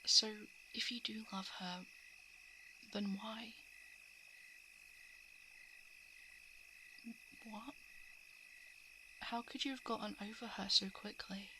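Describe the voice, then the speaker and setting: A woman's voice: medium pitch, fairly even intonation, unhurried, pauses often, lightly acted. Woman as a guarded monster girl amid night insects. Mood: confused, coolly menacing. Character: female shapeshifting heart-eating monster, guarded, coolly predatory. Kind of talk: ASMR roleplay monologue. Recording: clear background noise of night insects, frogs. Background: crickets, frogs and night insect ambience.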